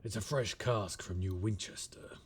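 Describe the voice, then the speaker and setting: A man's voice: fairly low pitch, moderate pace, slight background noise, close to an everyday voice. A man playing a roguish, mercenary skyship captain with wry, courteous, old-fashioned speech in an immersive audio roleplay. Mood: genial, courteous. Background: ambient sound effects.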